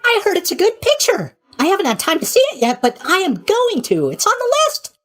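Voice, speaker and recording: Casting: a boyish, naive god of sleep in a video game, extremely high-pitched and wildly swooping, bubbly and scatterbrained. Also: male voice, wildly swooping pitch, fairly quick, fairly bright tone, full character voice. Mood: eager, flustered. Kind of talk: animated video game voice acting.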